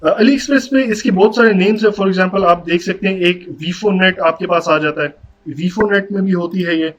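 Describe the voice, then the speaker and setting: A man's voice: high voice, normal speed. A man with a Pakistani accent giving a casual, conversational product review, mixing English words into his speech. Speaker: motorcycle vlogger reviewing gear. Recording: dull audio with background noise.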